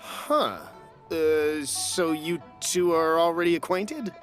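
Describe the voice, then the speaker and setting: A man's voice: on the high side, slow pace, soft background music. A man playing a nervous, eager merchant in an anime game, stammering and ingratiating. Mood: hesitant, suspicious. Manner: fawning, stammering.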